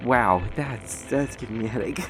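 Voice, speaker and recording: A man's voice: mid-range voice, moderate pace, lightly acted. A man voicing a nervous, polite, apologetic doctor who stammers and hesitates in a fantasy audio roleplay. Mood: uneasy, puzzled. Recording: noticeable background noise and ambience.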